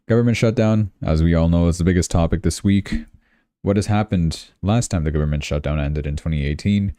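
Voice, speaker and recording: A man's voice: low-pitched, dark tone, no echo, studio quality. A man hosting a livestream, giving casual, offhand and wry live commentary on crypto charts and news.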